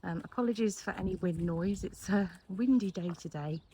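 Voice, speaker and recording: Woman, relaxed and reassuring, medium pitch, outdoor arena, light wind noise. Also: normal speed. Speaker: helper filming the rider. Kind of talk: casual chat. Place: outdoor riding arena, windy. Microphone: camera mic, no wind cancelling.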